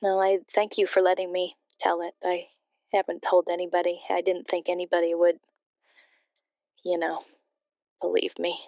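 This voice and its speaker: A bereaved daughter phoning a late-night radio drama. Her delivery is reflective and halting, with a narrow, level pitch and a clean, smooth tone. The sound is close and dry. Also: completely flat delivery, no room sound. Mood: grateful, sincere. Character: bereaved daughter, wistful and sincere, confiding a ghostly story. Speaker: caller to a radio show. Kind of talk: scripted audio drama, emotional personal storytelling.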